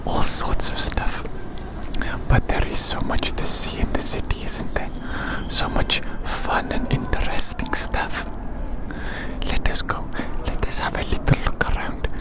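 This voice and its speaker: An ASMR creator whispering soothingly outdoors on a city walk, slowly repeating short phrases. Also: low-quality recording. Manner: soothing, slow repetition of phrases.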